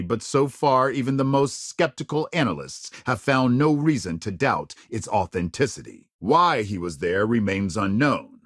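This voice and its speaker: An actor playing a serious, polished male news anchor in a sci-fi game, reading scripted reports with measured, emphatic delivery. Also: medium pitch, dry recording, studio quality. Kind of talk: scripted news broadcast narration.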